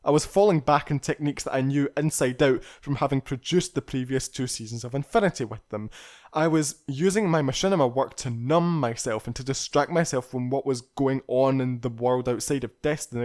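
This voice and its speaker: A man's voice: mid-range voice, fairly quick, darkish tone. Reflective man narrating a retrospective. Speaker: video creator narrating behind the scenes. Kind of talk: scripted personal retrospective narration.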